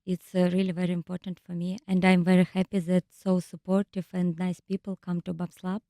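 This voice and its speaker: A woman from Ukraine speaking English with a Ukrainian accent, answering carefully and haltingly with many fillers. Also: on the low side, level tone, warm tone, no room sound, clean studio sound. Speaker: visual artist and storyteller. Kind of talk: interview answers. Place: radio studio.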